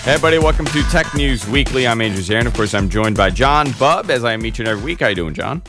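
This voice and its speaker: A man hosting a casual tech podcast, hoarse and congested, telling long rambling stories full of fillers. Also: mid-range voice, rapid-fire, loud music behind the voice. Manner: rambling, animated storyteller with many fillers. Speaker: host.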